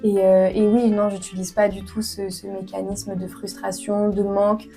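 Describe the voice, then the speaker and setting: A woman's voice: medium pitch, flat delivery, normal speed, creaky, warm tone, soft background music. A young woman in her twenties from France, answering interview questions earnestly with many hesitations and fillers. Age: 20s. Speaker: author guest.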